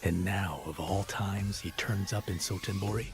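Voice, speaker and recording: A calm scheming game villain. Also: male voice, low-pitched, normal speed. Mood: composed. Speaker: game character. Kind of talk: game cutscene dialogue. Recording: game audio under music.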